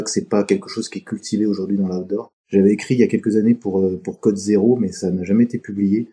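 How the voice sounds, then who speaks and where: A middle-aged man with a deep, strikingly dark and mellow voice answers interview questions at an easy pace. He talks in a halting, reflective way, often pausing mid-sentence to find the right word. He grew up on France's Atlantic coast. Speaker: podcast guest. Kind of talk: casual interview talk.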